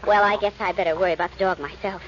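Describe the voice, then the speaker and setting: A woman's voice: medium pitch, steady tone, rapid-fire, lightly acted. A woman acting an anxious, polite young companion to a detective, in 1940s radio style. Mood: worried, wry. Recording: very noisy, muffled old radio recording.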